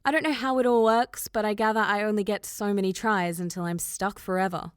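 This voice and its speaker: A woman's voice: mid-range voice, fairly even intonation, a little fast, smooth, no echo, studio quality, natural voice. A woman voicing a confident, sarcastic stranded girl pilot in an audiobook, with a breezy, slangy, assertive manner. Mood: brisk, matter-of-fact. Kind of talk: audiobook character dialogue.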